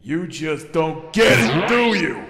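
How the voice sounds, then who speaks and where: Volatile skeleton monster for a comic dub: snarling, menacing, high and swooping pitch. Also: male voice, sing-song, slow pace, heavy background noise. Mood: menacing, mocking. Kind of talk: dramatic comic dub acting. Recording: echoey hall reverb, music behind.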